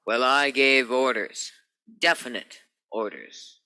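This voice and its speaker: A man's voice: mid-range voice, slow, pushed and bright, exaggerated voice, poor audio. A man playing a commanding middle-aged Navy rocket captain with strong feeling in a radio play. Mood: stern.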